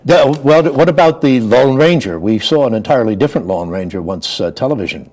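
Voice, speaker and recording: A middle-aged or older man with a medium-pitched voice. He is the host, asking questions in a conversational interview style. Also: animated, fairly quick, a little mellow.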